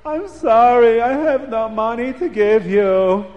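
A man voicing a grieving poor father who moans and laments loudly. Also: very high-pitched, expressive, slow pace, in a large hall, muffled recording, voice pushed up, full character voice. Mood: mournful. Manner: loud, lamenting, sing-song sighs.

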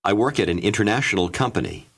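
A man narrating a language course in a clear, measured instructional way. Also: fairly low pitch, huge pitch swings. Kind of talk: scripted language-lesson narration.

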